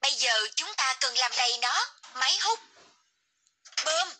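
High-pitched woman guiding a children's game. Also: lively intonation, a little slow, background noise. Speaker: game narrator giving instructions. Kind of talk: scripted children's game prompts.

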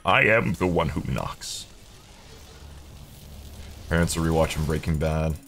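A man's voice: very deep voice, a little slow, a bit bright, slight background noise. A young man giving casual, jokey gaming commentary, slangy and sweary, talking to himself and his viewers. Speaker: video game streamer.

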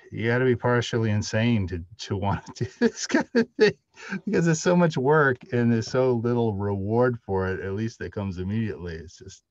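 A middle-aged man speaking haltingly and off the cuff as a panelist on a video call. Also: lowish voice, lively intonation. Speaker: panelist, audio drama writer. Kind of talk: unscripted panel discussion. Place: indoor room, video call. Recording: roomy, muffled video call audio.

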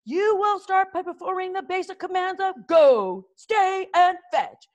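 Nasty Viking drill master, shouty and high, storybook, voiced by a woman. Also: unhurried. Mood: bossy. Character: gruff, bad-tempered male Viking trainer.

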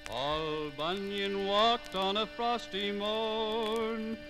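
A man sings a folk ballad for a 1950s radio program. His voice is high and he takes the words very slowly. Music accompanies him clearly throughout. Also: steady tone. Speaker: ballad singer. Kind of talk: slow sung folk ballad.

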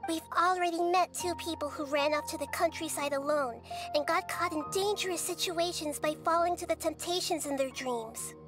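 A woman playing a gentle, wise childlike girl deity in a video game, speaking in a measured, articulate, thoughtful way. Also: very high-pitched, over music. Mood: serious, cautioning.

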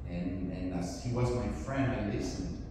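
Salvadoran guy slow and low, earnest church testimony, big echoey hall, heard from far off. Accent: Salvadoran Spanish. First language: Spanish. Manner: earnest, slow storytelling with light laughs. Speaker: missionary. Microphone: handheld microphone picked up from afar. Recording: echoey hall, distant camera, noise.

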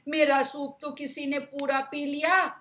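A woman's voice: high voice, level tone, a little slow, fairly bright tone, voice pushed up. A woman voicing a small, plaintive baby boy bear in a storybook, exclaiming. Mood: tearful.

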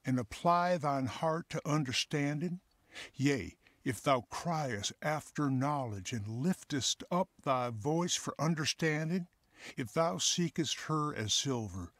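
A man's voice: on the high side, expressive, slow, fairly bright tone, no echo, exaggerated voice. Fatherly counselor, earnest, preachy, dry close sound. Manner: earnest, teaching. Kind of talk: radio drama acting.

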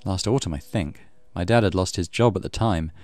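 Deep-voiced man narrating a visual novel. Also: fairly quick. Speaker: let's play host, narrator. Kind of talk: reading visual novel narration aloud with casual commentary. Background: soft game music.

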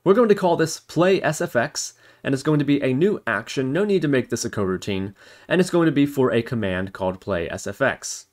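Man, medium pitch, animated intonation, instructional voice-over, step-by-step explaining, tutorial presenter. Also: brisk. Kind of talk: instructional screencast narration.

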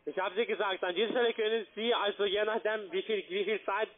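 A man from Slovakia with a foreign accent, not a native speaker, giving a halting, formally polite telephone sales pitch in broken grammar. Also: steady tone. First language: not German. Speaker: investment phone salesman. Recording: narrow-band, tinny phone line.

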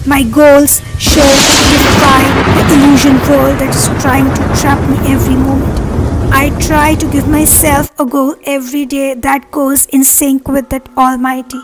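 A woman leading a guided meditation with affirmations, speaking earnestly in measured, separate phrases. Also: sits high, flat delivery, low-quality recording. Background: meditation music.

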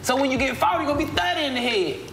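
A man in his late twenties, a podcast co-host, teasing his friends loudly in casual comedic banter. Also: very high voice, rapid-fire, crowd noise. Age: 20s. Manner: loud, animated, teasing.

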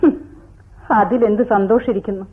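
A woman's voice: mid-range voice, steady tone, moderate pace, a little hiss or hum, natural voice. An actress in a radio drama playing a sorrowful, brooding woman who speaks in poetic, theatrical monologue. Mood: bitterly wry. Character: sorrowful woman, Dakshayani, brooding, bitterly wry, recalling her father. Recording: tinny phone-like old radio broadcast.

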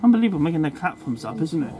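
A man's voice: sing-song, very fast, faint music behind. A man on a train, chatting with a friend and ending his remarks with tag questions. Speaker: railway enthusiast filming the trip. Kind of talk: casual chat. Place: heritage train carriage, indoors. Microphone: handheld phone camera. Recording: loud train rumble behind.

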